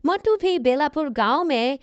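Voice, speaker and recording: A woman's voice: high voice, animated, a little slow, no echo. A woman narrating a scripted cartoon story as the storyteller. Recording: dull, lo-fi audio.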